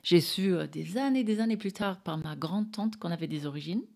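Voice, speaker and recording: A middle-aged Australian woman, native in English, speaks with an Australian-English accent. Her voice is on the low side. She chats casually as a guest, with dry, understated humour. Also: a little fast, vocal fry, darkish tone. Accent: Australian-English accent in French. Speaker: interview guest. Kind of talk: casual conversation.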